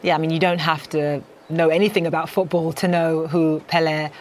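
A woman anchoring a business news show, speaking in a brisk, polished way. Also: low voice, flat delivery, a little mellow, a little hiss or hum.

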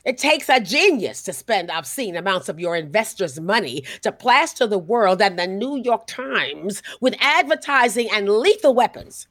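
A sharp-tongued producer in an audio comedy. She is indignant and haughty, her sarcasm carried on a swooping, expressive pitch. The recording is close and dry. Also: moderate pace, few pauses, dry recording. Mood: sarcastic, withering.

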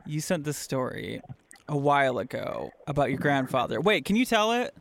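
A man hosting a podcast, chatting casually and informally with animated reactions. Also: medium pitch, moderate pace, creaky, a little hiss or hum. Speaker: podcast host. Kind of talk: casual interview chat.

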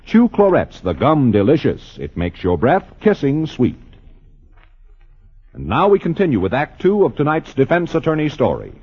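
A man's voice: medium pitch, wildly swooping pitch, moderate pace, slightly rough, soft background music. A man announcing a sponsor message in an emphatic 1950s radio commercial style. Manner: emphatic salesman delivery. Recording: muffled old 1950s radio recording.

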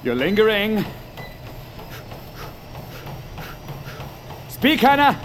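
An actor playing a domineering tech magnate boss, imperious, goading and theatrical, in a sci-fi thriller audio drama. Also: male voice, sits high, very animated, normal speed, slight background noise. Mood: impatient.

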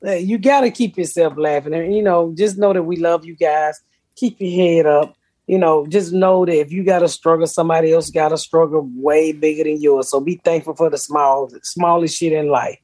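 A woman with a deep voice, hosting a podcast in brash, profane, laughing casual chat. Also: steady tone, normal speed, darkish tone. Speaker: podcast host.

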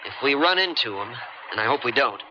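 Wry young boat skipper, lively swooping voice. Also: male voice, mid-range voice. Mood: teasing, probing. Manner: bantering, sardonic, halting. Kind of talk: 1970s radio drama acting. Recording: muffled, noisy 1970s radio recording.

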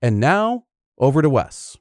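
A man's voice: medium pitch, very animated, measured pace. A man hosting a podcast, reading a prepared introduction of a colleague. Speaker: podcast host. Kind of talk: scripted introduction.

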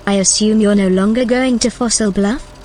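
An actress playing a concerned, cautious companion in a science fiction audio drama, speaking in brief, measured questions and warnings. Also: female voice, mid-range voice, fairly even intonation, a little mellow, slight background noise, natural voice. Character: cautious, concerned female companion guiding over radio. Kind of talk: restrained sci-fi audio drama acting.

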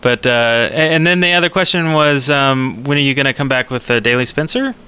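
A man's voice: mid-range voice, brisk, bright, forceful tone, smooth. A man co-hosting a podcast in a casual conversational style. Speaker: podcast co-host. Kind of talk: casual chat.

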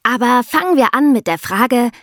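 A woman's voice: fairly high pitch, animated, moderate pace, a bit bright, no echo, studio quality. A woman co-hosting a podcast, explaining things in an emphatic, colloquial way with rhetorical questions. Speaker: podcast co-host. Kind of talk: lively explanatory talk with co-hosts.

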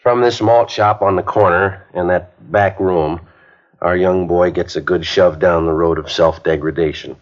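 Police sergeant, earnest lecturing, deep voice, dull old radio sound. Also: male voice, steady tone, unhurried. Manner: earnest, plain-spoken lecturing. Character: earnest male police sergeant, middle-aged, rehearsing. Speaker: police detective giving a speech. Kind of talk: 1950s radio drama acting. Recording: muffled 1950s radio broadcast.

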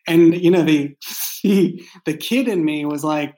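A man speaking casually in an interview as a writer, thoughtful and halting, often repeating words as he goes. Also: a little high, dark tone. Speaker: writer and creator of an audio drama. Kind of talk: casual behind-the-scenes interview.

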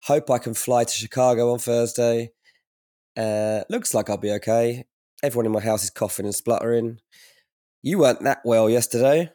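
A man, hoarse from a cold, co-hosting a podcast in a grumpy, self-deprecating way. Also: mid-range voice, steady tone, normal speed, mellow, dry recording, clean studio sound. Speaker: podcast co-host.

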